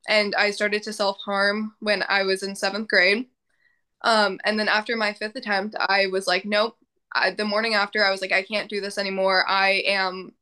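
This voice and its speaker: Bright-voiced young woman, casual and chatty. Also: mid-range voice, smooth. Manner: casual, filler-heavy, laughs often. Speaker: podcast guest, mental health advocate. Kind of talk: casual interview chat.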